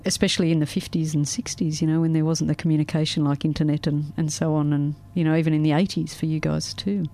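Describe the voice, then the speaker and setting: A woman's voice: low-pitched, little pitch movement, quick pace. A woman hosting a radio show who grew up in the Netherlands, rambling casually with many fillers. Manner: warm-hearted rambling, many "you know" fillers. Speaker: radio host.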